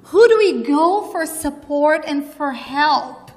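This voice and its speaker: A woman with a Brazilian Portuguese accent preaches as a guest, earnest and unhurried, often pausing on fillers. Her voice sits high, and her words come slowly. A long reverb trails after each phrase. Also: expressive, a little mellow. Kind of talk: sermon.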